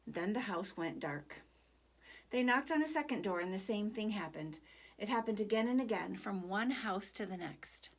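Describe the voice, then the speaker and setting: A woman narrating a picture book for children at storytime, deliberate and expressive, pausing between phrases. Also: mid-range voice.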